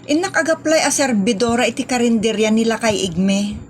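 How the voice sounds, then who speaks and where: A woman plays an outspoken daughter in an Ilocano radio comedy. Her voice is high and her manner feisty and fussing, with an Ilocano accent. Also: a little fast, slight background noise, close to an everyday voice. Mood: indignant.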